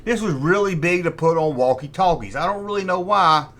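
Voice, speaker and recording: A man's voice: sits high, animated, fairly quick, a bit bright. A man hosting a vintage toy show, chatting informally and nostalgically and often repeating himself. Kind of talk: rambling nostalgic commentary on collectible toys.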